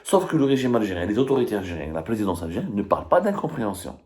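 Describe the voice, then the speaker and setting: A man's voice: fairly low pitch, sing-song, racing, a little mellow. A man with an Algerian accent giving live political commentary in an emphatic, argumentative and repetitive way. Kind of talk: live political commentary, reading and dissecting statements.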